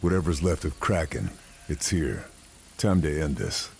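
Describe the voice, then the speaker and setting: An actor voicing a grim, determined Allied sniper in a war video game, speaking tersely and gravely. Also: male voice, rumbling low, animated, unhurried, darkish tone, soft background music, heavily acted. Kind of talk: scripted game narration and cutscene dialogue.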